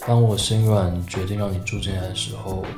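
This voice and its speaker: A man acts an exasperated young office worker telling his own story in a radio drama. His low voice has a Taiwanese Mandarin accent and sits in a roomy, echoing sound over clearly audible guitar music. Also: fairly even intonation, a little fast, close to an everyday voice. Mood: soft, sheepish. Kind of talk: radio drama acting with inner-monologue narration.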